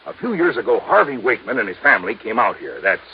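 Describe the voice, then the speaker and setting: An American actor playing a folksy, talkative male insurance agent with a rough, husky voice in an old radio drama. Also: fairly low pitch, sing-song, fast, a little hiss or hum. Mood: earnest explaining. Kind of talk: 1950s radio drama acting. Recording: muffled vintage radio recording.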